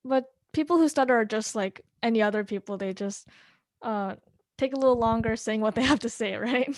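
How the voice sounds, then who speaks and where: Higher-voiced woman host, dry sound. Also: no room sound. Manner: stutters, speaks of her own blocks, pauses with "um". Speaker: podcast host. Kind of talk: casual interview conversation.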